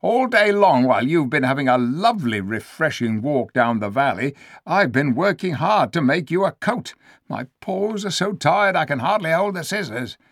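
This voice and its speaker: A man's voice: on the high side, sing-song, normal speed, fairly bright tone, no echo, clean studio sound, pitched above the performer's own voice, exaggerated voice. A man doing a wheedling, crafty ape. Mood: whining. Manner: whiny, persuasive, self-pitying. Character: crafty scheming male ape, bossy and wheedling. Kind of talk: storybook read-aloud.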